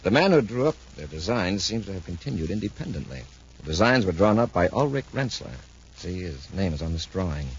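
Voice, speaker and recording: An actor playing a calm, authoritative space patrol commander with a husky voice in a 1950s radio serial. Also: male voice, low voice, a little fast, a little hiss or hum. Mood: explaining. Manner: decisive, patiently explanatory. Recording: muffled 1950s radio recording.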